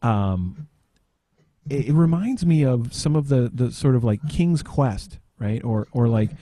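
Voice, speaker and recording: A man's voice: mid-range voice, lively intonation, a little slow, mellow, no room sound, clean studio sound. A man chatting casually on a podcast, hesitant and searching for his words. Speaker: podcast panelist. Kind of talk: casual chat.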